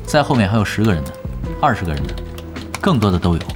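A low but very expressive voice of a nonchalant veteran gamer in an audio drama. He is laid-back and dry, never rattled, with music clearly playing behind. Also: fast talker. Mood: relaxed. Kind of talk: Chinese audio drama acting.

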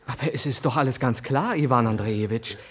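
An actor playing a deferential but persistent young government official, formal and eager, in a 1960s German radio play. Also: male voice, mid-range voice, fairly quick, breathy edge, natural voice. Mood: urgent. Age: young adult.

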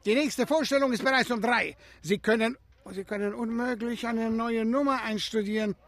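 An actor playing a boastful circus director in a radio play, with a theatrical barker's delivery. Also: male voice, very high-pitched, fairly even intonation, normal speed, a bit bright, dry recording. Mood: gruff.

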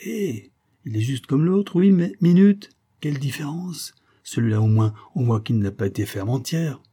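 A man's voice: on the low side, a little fast, dark tone, slightly rough. A man voicing a brash, self-satisfied male tourist with a blunt, jovial, boastful manner, in a storybook reading. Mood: excited.

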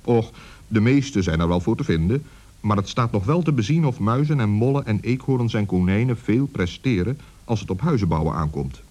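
A man's voice: fairly low pitch, expressive, moderate pace, mellow. A man narrating a scripted children's story as its storyteller.

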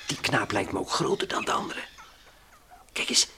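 An actor playing a brave, level-headed space adventurer in a Dutch radio play, hearty, reassuring and gently scolding. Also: male voice, fairly low pitch, normal speed, noisy background, close to an everyday voice. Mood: calm, confident.

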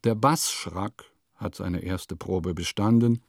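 A man's voice: low voice, slow, creaky, no echo, clean studio sound, heavily acted. A man playing a solemn, self-important composer reading from his journal in a formal, pompous way for a radio play. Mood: solemn, proud. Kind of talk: diary read as scripted radio-play narration.

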